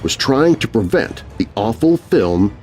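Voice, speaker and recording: A male narrator reads a scripted horror story for young listeners. His delivery is theatrical and full of suspense, with a mid-range voice that rises and falls widely over quiet background music. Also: medium pitch, very animated, a little slow. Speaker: narrator and host.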